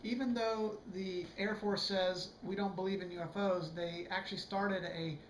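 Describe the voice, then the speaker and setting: A hoarse man giving a casual, rambling video book review, full of fillers and restarts. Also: sits high, steady tone, normal speed, echoey room, slight background noise, rough recording.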